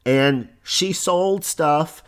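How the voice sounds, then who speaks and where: Hoarse man hosting a podcast. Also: fairly high pitch, very slow. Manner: conversational, explaining with examples. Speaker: podcast host. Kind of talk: solo podcast talk on online business.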